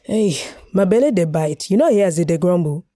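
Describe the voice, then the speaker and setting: A woman's voice: on the low side, expressive, brisk, warm tone, no echo, studio quality. A woman voicing a brash, nosy, plump party guest in a short story, blunt and challenging. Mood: complaining. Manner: blunt, challenging, speaking Pidgin-inflected English.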